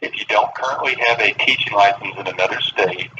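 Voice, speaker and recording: A man speaking as a human resources official on a phone call, halting and careful, with polite phrasing. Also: fairly low pitch, pushed and bright. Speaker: school human resources director. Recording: distant, dull, noisy phone line.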